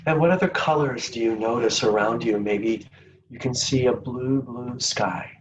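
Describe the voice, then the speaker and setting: A man leading a guided meditation in a gentle, soothing, unhurried manner with long pauses between phrases. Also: mid-range voice, distant mic, slight background noise, rough recording.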